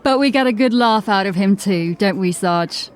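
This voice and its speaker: Casting: woman playing sardonic female watch officer, medium pitch, dry and knowing, fantasy audio drama. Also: moderate pace, natural voice. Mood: wry. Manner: wry, knowing.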